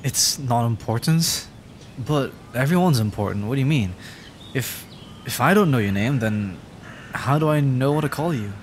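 A man's voice: medium pitch, animated, normal speed, a little hiss or hum, close to an everyday voice. A man voicing a timid, adorkable young dragon in a roleplay audio drama, stammering, hesitant and rambling. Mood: shy, curious.

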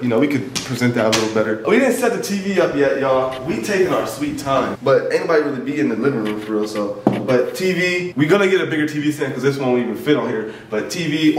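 A man's voice: mid-range voice, background noise. A young man giving a joking, slangy tour of his house, often asking if you feel him. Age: young adult. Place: rooms of a house, indoors. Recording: echoey rooms, camera mic from a distance.

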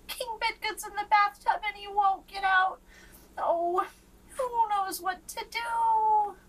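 A woman's voice: extremely high pitch, steady tone, slow, a bit bright, recorded from a distance, a little hiss or hum, pitched above the performer's own voice, full character voice, poor audio. A woman voicing a frantic young page boy in a storybook, wailing theatrically for help. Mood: frantic, pleading. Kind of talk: storybook read-aloud.

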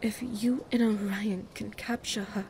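A woman's voice: medium pitch, fairly even intonation, a little slow, darkish tone, slight background noise, close to an everyday voice. A woman playing a grave, mystical female ruler in an audio roleplay, speaking formally and breathily as she pleads for her people. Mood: earnest warning.

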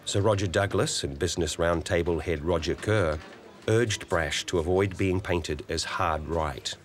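A man narrating a political documentary in a measured, matter-of-fact way. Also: deep voice, a little hiss or hum.

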